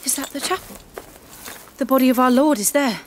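A woman's voice: high voice, brisk, a little hiss or hum, lightly acted. A woman playing a devout young captive maiden, earnest and direct, in an audio drama. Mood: earnest questioning. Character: devout, plain-spoken young French peasant warrior maiden held prisoner.

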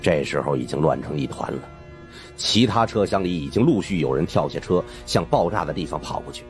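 A man narrating a suspenseful audiobook story in an expressive, dramatic first-person style. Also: extremely low pitch, a little fast. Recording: faint music behind the voice.

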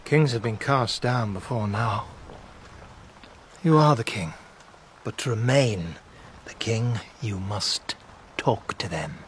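A man's voice: on the low side, slow, long pauses, slight background noise. An actor playing a stern, loyal knight in the king's service, blunt and grave, in a historical radio drama. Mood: grave, urging.

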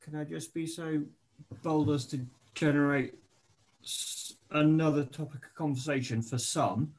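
A man's voice: fairly high pitch, steady tone, unhurried, darkish tone. A man on a club video call explaining a point hesitantly, with a self-deprecating manner and repeated words. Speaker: club member asking a question. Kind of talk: casual explanatory chat.

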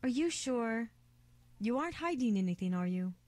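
A woman playing a gently fussing, caring young woman in a visual novel game, with a coaxing manner. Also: a little high, expressive, moderate pace, close to an everyday voice. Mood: concerned.

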